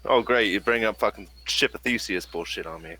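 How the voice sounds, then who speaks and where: A man with a husky voice casually role-playing in character, grumbling and narrating his character's actions. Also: lowish voice, a little fast, pushed and bright.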